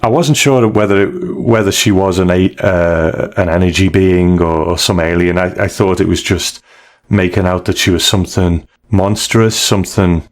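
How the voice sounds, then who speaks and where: Low-voiced man in casual talk. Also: steady tone, moderate pace. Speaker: podcast co-host. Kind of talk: casual conversational story recap.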